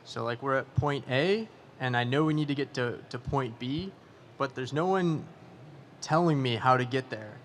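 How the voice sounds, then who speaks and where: Man in his twenties, mid-pitched voice, casual, lots of likes and ums. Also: moderate pace, fairly bright tone, slight background noise. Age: 20s. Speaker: startup panelist. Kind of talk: conference panel discussion.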